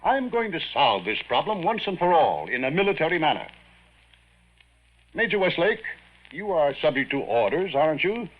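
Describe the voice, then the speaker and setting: Blustering general, swinging pitch. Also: male voice, sing-song, moderate pace. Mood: stern, blustering. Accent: American. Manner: pompous, sputtering. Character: blustering, exasperated older male Air Force general. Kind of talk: 1940s radio acting. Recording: muffled old radio broadcast.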